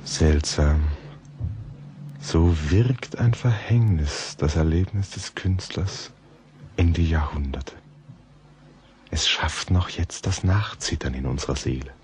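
An actor playing a reserved, cultured gentleman scholar, polite and pensive, in a German radio play. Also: rumbling low, takes it slowly, pauses often. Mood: uneasy, pensive. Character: reserved, cultured Parisian gentleman scholar visiting, uneasily fascinated.

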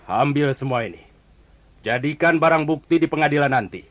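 A man's voice: fairly high pitch, lively intonation, moderate pace, natural voice. A man playing a haughty, wrathful king in an audio fairy tale, imperious and commanding. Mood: angry, commanding.

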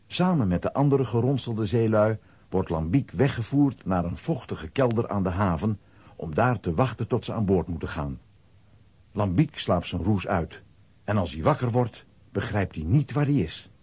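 Low, mellow male storyteller. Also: normal speed, breathy edge, no echo. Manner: expressive storytelling. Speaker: narrator. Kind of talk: scripted story narration for a children's radio play.

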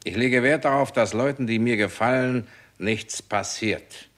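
A man acting a smooth-talking, menacing Hollywood studio boss in a 1960s German radio crime play, mockingly polite and drawling. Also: mid-range voice, unhurried, fairly bright tone, lightly acted.

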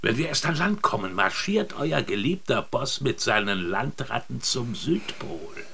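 Sharp, menacing sailor bully. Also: male voice, medium pitch, sing-song, unhurried, gravelly, slightly rough, exaggerated voice. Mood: threatening. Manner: taunting, threatening, domineering. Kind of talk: German radio drama acting.

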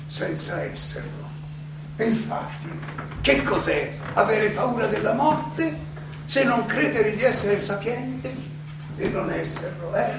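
An actor playing a defiant philosopher on trial in a theatrical monologue, declamatory and halting, with rhetorical questions, in an echoey, noisy recording. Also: male voice, sits high, heavily acted. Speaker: stage actor and director. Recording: echoey hall, distant mic, clearly noisy.

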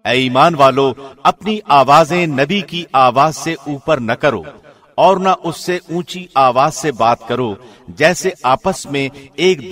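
Mid-pitched man reading scripture translation. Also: fairly bright tone. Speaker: translation reader, radio presenter.

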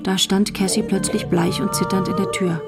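A woman's voice: medium pitch, level tone, normal speed, vocal fry, lightly acted. A woman as a composed storyteller, reading scripted narration for a radio drama. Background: music.

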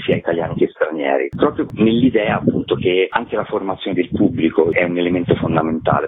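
Male interviewee, festival organiser type, wordy, rough-edged low voice, thin telephone sound. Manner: wordy, repeats filler "appunto". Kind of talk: radio interview, explanatory talk. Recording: muffled narrow phone line on radio.

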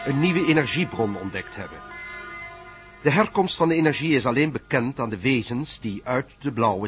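Man, radio drama narrator, scripted recap, mid-pitched voice, light music underneath.

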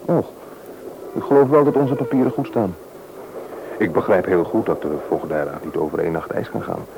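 A deep-voiced, measured husband talks sensibly and hopefully in a radio play. The old recording has clear noise behind him. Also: male voice. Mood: earnest, hopeful. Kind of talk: radio drama dialogue.

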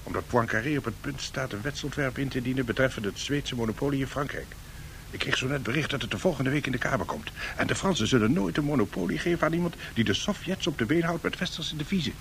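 An actor playing a discreet, cautious business adviser speaking in a hushed, confidential way, in radio drama style. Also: male voice, mid-range voice, fast, gravelly. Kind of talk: 1970s Dutch radio drama acting. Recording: noisy 1970s radio drama recording.

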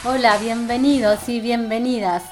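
A woman's voice: fairly high pitch, steady tone, normal speed, over music. An Argentine woman presenting a community radio show as host in a scripted introduction.

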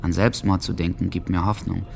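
A man's voice: low voice, little pitch movement, brisk, vocal fry, a little mellow, a little hiss or hum. An actor playing an alienated, hopeless teenage boy in an introspective, melancholy radio drama monologue. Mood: bleak, brooding. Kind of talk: German radio docudrama acting, diary monologue.

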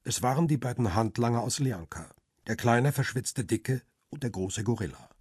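An actor playing a wary jailed paparazzo who narrates his own radio crime drama in a husky voice. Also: medium pitch, lively intonation, normal speed, a little mellow, no room sound, clean studio sound. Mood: weary, wary.

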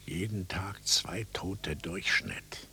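An actor playing a grim, resigned prisoner in a radio play, speaking in a breathy half-whisper. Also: male voice, deep voice, slow pace, bright, forceful tone, exaggerated voice. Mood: grimly resigned.

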